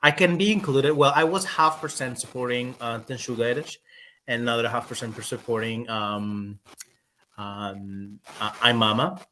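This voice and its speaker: A man's voice: mid-range voice, steady tone, normal speed, pushed and bright, slight background noise. A man from Portugal joining a video call, hesitant with many ums and restarts. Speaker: guest commentator.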